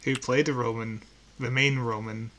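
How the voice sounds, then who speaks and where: A man's voice: mid-range voice, steady tone, normal speed, fairly bright tone, slight background noise. A man co-hosting a podcast, chatting casually and informally. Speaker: podcast co-host.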